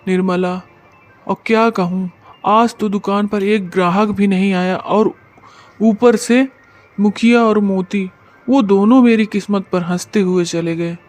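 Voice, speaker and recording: A man's voice: high voice, fairly even intonation, a little slow. A man playing a poor, despairing village blacksmith and father in a dramatized story, lamenting sorrowfully. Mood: dejected, ashamed. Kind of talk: dramatized Hindi story narration.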